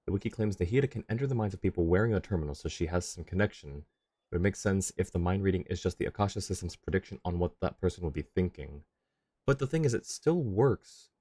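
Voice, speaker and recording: A man's voice: deep voice, darkish tone, no room sound, clean studio sound. A man streaming a video game with casual, rambling commentary, thinking aloud. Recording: faint game music behind.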